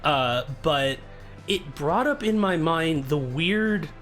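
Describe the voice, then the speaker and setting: A young man chatting casually on a live stream, greeting viewers by name and poking fun at himself, with a soft, soothing voice. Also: a little high, expressive, slow. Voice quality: soft, told he sounds soothing. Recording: faint music behind.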